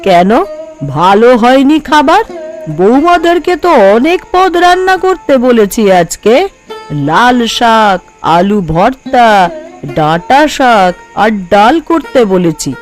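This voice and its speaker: Woman acting a slow-spoken, fussing older mother. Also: on the high side, big pitch swings, faint music behind. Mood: indignant. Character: older widowed mother-in-law, bossy, thrifty, fussing over sons. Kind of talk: Bengali cartoon voice acting.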